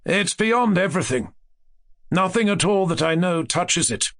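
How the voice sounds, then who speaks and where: Casting: male narrator as grave, haunted gentleman of a classic ghost story, hesitant and solemn, pitched high with swooping inflection. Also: lively intonation, measured pace, heavily acted. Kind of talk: acted dialogue in classic ghost story audiobook.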